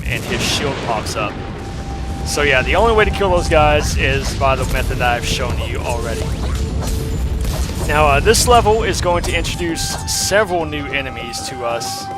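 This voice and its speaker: A hoarse young man giving casual, rambling commentary while playing a game, with lots of ums and uhs. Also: fairly high pitch. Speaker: let's play host.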